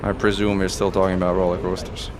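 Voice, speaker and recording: A man from Italy whose first language is Italian, giving excitable live commentary as he plays, talking through each move. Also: deep voice, quick pace, very noisy. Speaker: streamer and commentator.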